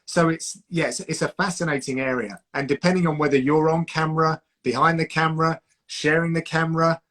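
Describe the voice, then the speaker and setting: A man's voice: a little high, level tone, fairly quick, fairly bright tone. A southern English man hosting a live-stream chat, his voice sitting a little above the usual male range. He coaches thoughtfully and restarts his sentences as he thinks aloud. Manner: reflective, coaching, with frequent restarts. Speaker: host, combat sports commentator. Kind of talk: casual live-stream chat giving advice.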